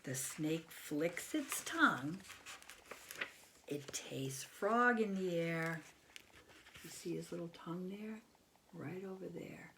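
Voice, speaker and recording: A woman's voice: lowish voice, lively intonation, takes it slowly, long pauses, sharp tone, slight background noise. An American woman in her fifties reads a picture book aloud to young children, expressively, with simple explanations and questions to the listener. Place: small bedroom at home, indoors.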